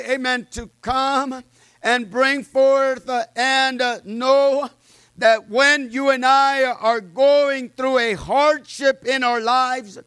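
A man preaching a sermon with personal testimony, loud and fervent, peppering his words with amens and uh's. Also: very high voice, clear, resonant tone, dry recording.

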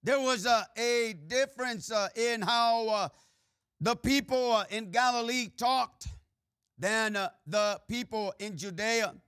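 High, bright-voiced Mexican-Texan preacher. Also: male voice, takes it slowly, clear, resonant tone, dry recording, clean studio sound. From Mexico and Texas. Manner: frequent "uh" and "amen" fillers, swings between loud preaching and quiet asides. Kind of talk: sermon.

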